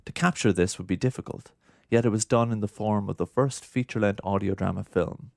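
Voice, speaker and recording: A man's voice: on the low side, a little fast, creaky, darkish tone, no room sound, clean studio sound. A man reading scripted narration for a documentary.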